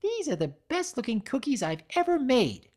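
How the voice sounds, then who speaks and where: A man puts on a hyper young female cartoon pony who bakes. The voice is pitched high and swings about, bubbly and excitable, in storybook style. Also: normal speed, heavily acted. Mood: delighted.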